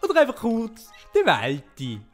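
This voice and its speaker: Swiss german lady narrating a story, really unhurried, soft music behind her. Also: on the high side, huge pitch swings. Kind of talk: storybook-like comic audio drama narration.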